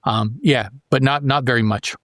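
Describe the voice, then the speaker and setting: A man co-hosting a podcast in casual chat, halting and often rephrasing as he goes. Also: medium pitch, loud music behind the voice. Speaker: podcast co-host.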